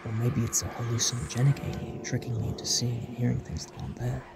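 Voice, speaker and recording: A man's voice: mid-range voice, fairly even intonation, fast, gravelly, loud music behind the voice, natural voice. A man playing an anxious, searching traveller who talks urgently to himself in a fan audio drama. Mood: uneasy, puzzled.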